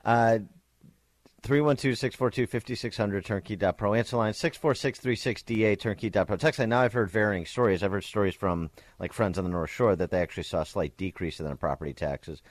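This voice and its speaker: A man's voice: lowish voice, brisk, no echo. A man hosting a morning talk radio show, chatting casually and wryly about the news. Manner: wry, conversational, rattles off phone numbers. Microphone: studio microphone.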